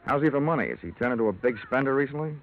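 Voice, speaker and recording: An actor playing a wry, hard-boiled insurance investigator, deadpan and wisecracking, in an old-time radio detective drama. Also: male voice, medium pitch, racing, natural voice. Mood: sly, flirtatious. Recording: tinny vintage radio recording.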